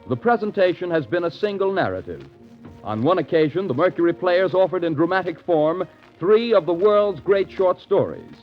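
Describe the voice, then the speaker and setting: A man announcing formally and in measured phrases in the style of 1930s network radio. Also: a little high. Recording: dull vintage 1930s radio broadcast, music.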